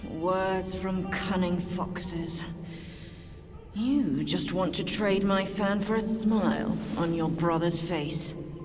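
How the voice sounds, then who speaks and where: A woman's voice: fairly low pitch, takes it slowly, a little mellow, in a large hall, soft background music. A woman voicing a proud, bitter demon queen mother in a game cutscene, speaking with cold scorn. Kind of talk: video game cutscene voice acting.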